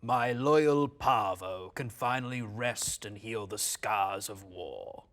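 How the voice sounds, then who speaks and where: A man's voice: lowish voice, takes it slowly, fairly bright tone. A man playing a proud ruler giving an imperious oration in a fantasy drama table read. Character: proud male ruler addressing subjects from an altar.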